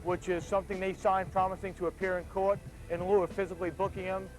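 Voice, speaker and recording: A man's voice: high-pitched, flat delivery, quick pace, poor audio. A male police officer explaining procedures and instructing people in a procedural, matter-of-fact manner. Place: busy street outdoors at night.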